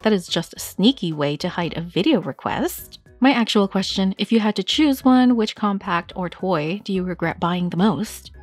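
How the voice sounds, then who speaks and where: A woman's voice: fairly high pitch, a little mellow, dry recording. A young woman chatting casually as she answers viewer questions for her toy-collecting vlog, reading them aloud and laughing at herself. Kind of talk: casual Q&A chat.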